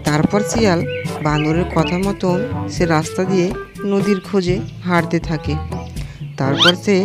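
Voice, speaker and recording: A woman's voice: lowish voice, normal speed, over music. A woman giving scripted story narration for a cartoon as the storyteller.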